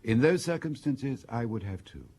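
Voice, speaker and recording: An actor playing a curious colleague in a radio drama, gently prompting with questions. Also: male voice, mid-range voice, expressive, normal speed, husky.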